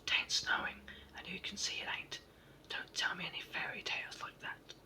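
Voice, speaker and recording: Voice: moderate pace, pushed and bright. A reader whispering the part of a deaf, cross little saucepan man in a storybook. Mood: huffy.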